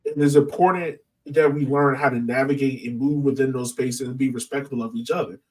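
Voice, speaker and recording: A man from the American South with a medium-pitched voice discusses things casually on a sports podcast. He thinks aloud as he explains, and he sounds somewhat distant from the microphone. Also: steady tone, fairly quick, darkish tone. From southern United States. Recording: distant from the mic.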